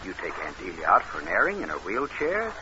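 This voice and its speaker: A man's voice: low voice, wildly swooping pitch, fairly quick. A man playing a scheming, cold-blooded, wary con man in a vintage radio noir drama. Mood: urgent, plotting. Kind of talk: 1940s radio noir acting and narration. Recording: noisy muffled old radio recording.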